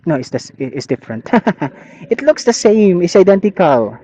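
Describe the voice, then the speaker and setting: A man's voice: sits high, very animated, normal speed, mellow, a little hiss or hum. A man with a Filipino accent chatting casually and thinking aloud as a motorcycle vlogger, in an easygoing, rambling way. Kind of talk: casual chat, rambling commentary while riding. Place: outdoors, riding a motorbike on rural roads. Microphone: helmet intercom headset. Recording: muffled helmet intercom audio.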